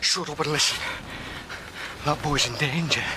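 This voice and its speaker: A man's voice: fairly high pitch, normal speed, close to an everyday voice. An actor playing a fearful village man, blunt and urgent as he gives a secret warning in an audio drama. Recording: clear background ambience noise.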